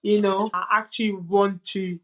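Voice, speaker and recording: A woman's voice: mid-range voice, little pitch movement, moderate pace, fairly bright tone. Earnest young woman, French native speaker. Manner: earnest, many restarts and "you know" asides. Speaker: guest, boxer. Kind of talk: candid conversational storytelling.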